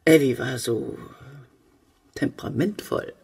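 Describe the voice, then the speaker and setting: An actress playing a genteel, brittle older widowed mother in a German radio play, with a husky voice. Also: extremely low pitch, lively intonation, slow pace, a little mellow, distant mic, heavily acted. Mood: brittle, wistful.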